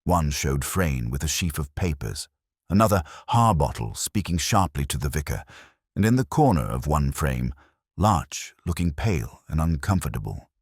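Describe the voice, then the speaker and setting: A man's voice: a bass voice, sing-song, moderate pace, husky, dry recording, studio quality. A man reading a scripted mystery story as its narrator.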